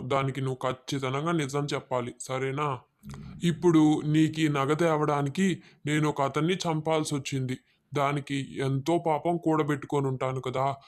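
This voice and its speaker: A man's voice: a little high, natural voice. A man playing a ruthless, demanding forest robber in a moral-story cartoon. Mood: domestic, firm. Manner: menacing, demanding. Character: ruthless male forest robber, gruff family man at home.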